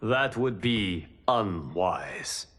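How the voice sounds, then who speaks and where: An actor playing a calm, wise guardian god in a video game, speaking formally with measured authority. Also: male voice, deep voice, exaggerated voice. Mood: firm, advising. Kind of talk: video game cutscene acting.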